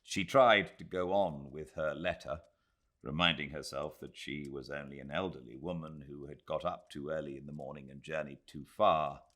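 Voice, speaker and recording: A British man reading literary narration as an audiobook narrator, measured and expressive. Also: very deep voice. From Britain.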